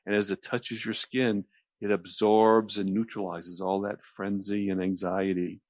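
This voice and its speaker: A man with a deep voice explains a relaxation technique conversationally as a radio host, often circling back and repeating phrases. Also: level tone, a little slow, darkish tone, dry recording.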